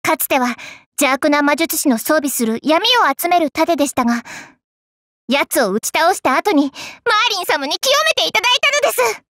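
Anime game heroine: starstruck young lady knight, very high and bright, polite but gushing. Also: big pitch swings, normal speed, no room sound, studio quality, heavily acted. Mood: proud, earnest. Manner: gushing, earnest, polite, exclamatory. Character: excitable young female knight, devoted starstruck fangirl. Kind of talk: Japanese anime game character voice acting.

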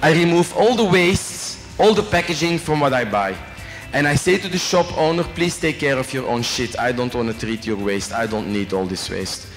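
A man's voice: medium pitch, moderate pace. A middle-aged man from Belgium with a French accent, giving a chatty, joking business talk to students. He speaks into a handheld microphone, asks the audience questions and tags his sentences with "eh?". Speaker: company executive giving a guest lecture. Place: large hotel function room with a student audience. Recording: long reverb in a big hall, faint music.

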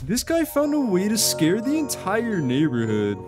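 A man's voice: high voice, huge pitch swings, normal speed, over loud music. A man narrating a video compilation in scripted reaction commentary.

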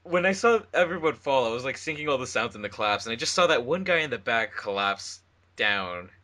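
A man's voice: mid-range voice, lively intonation, brisk, pushed and bright. A man co-hosting a casual commentary track as an editor and voice actor.

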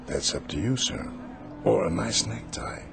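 An actor playing a mysterious, calm old peddler in a radio drama, speaking in gentle, cryptic phrases. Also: male voice, lowish voice, unhurried, gravelly, background noise, heavily acted. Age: older. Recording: radio play with music underneath.

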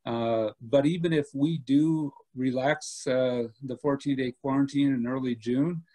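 A man in his fifties, an airport director, answering questions over a video call in a steady, measured way with many pauses and "uh"s. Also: medium pitch, rough recording. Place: home room indoors. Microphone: laptop webcam on video call.

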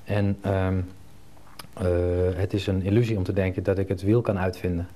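A Dutch man with a low, warm, dark voice. He talks casually and hesitantly in an interview, over a faint hum. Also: little pitch movement, normal speed. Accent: Netherlands Dutch. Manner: hesitant, many long hesitations. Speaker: interior designer being interviewed. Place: indoor design studio.

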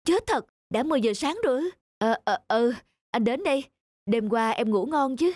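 A woman's voice: on the high side, animated, moderate pace, darkish tone, no echo. A woman voicing a troubled married businessman in a cartoon, earnest and hesitant. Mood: flustered. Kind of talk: scripted cartoon dialogue.

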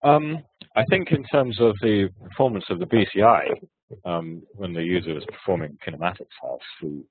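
A man with a very low, husky voice, hoarse from hay fever, talks through his research in a hesitant way full of restarts. The sound is dry and tinny, like a webinar call over a thin line. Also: moderate pace, no echo. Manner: hesitant, many restarts and fillers. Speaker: research presenter. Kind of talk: webinar talk and Q&A answers. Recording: tinny phone-like webinar audio.

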